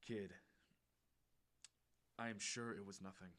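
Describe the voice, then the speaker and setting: A man playing a gentle, reassuring father in an audio drama. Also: lowish voice, flat delivery, unhurried.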